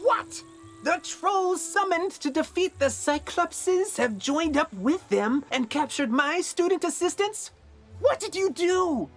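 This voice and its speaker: A woman's voice: extremely high pitch, expressive, slow pace, faint music behind, heavily acted. A woman voicing a bossy, self-important young wizard student in a video game, with a haughty, theatrical delivery. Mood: indignant. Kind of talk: scripted video game character acting.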